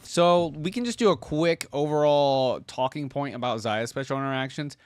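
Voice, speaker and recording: A man's voice: medium pitch, moderate pace, darkish tone, clear, resonant tone, no room sound. A man hosting a reaction video, talking casually and off the cuff about what he hears.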